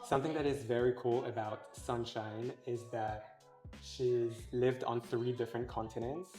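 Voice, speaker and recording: A woman's voice: rumbling low, fairly even intonation, moderate pace, dark tone, soft background music. Young woman vlogger, chatty and hesitant. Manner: friendly, hesitant, lots of "like" and restarts. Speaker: vlogger host. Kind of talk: casual vlog conversation.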